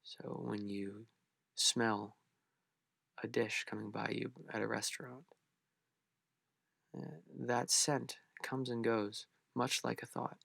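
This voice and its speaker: Man, meditation instructor, gravelly low voice, gentle unhurried delivery, long pauses, very dry sound. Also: level tone, no echo. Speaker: meditation guide. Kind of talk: guided meditation for beginners.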